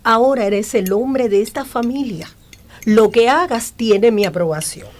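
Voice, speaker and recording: A woman's voice: on the high side, unhurried, a little mellow, slight background noise, close to an everyday voice. An actress playing a devout, dignified older aunt and mother in a radio drama, with formal matriarchal speech.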